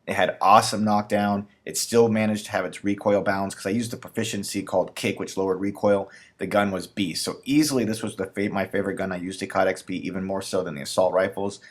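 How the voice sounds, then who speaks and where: Gaming YouTuber, man in his 30s, low voice gone a bit raspy, eager rambling delivery. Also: fairly even intonation, fast talker, few pauses. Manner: enthusiastic, rambling with fillers. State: losing his voice, slightly raspy. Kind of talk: casual gun breakdown commentary.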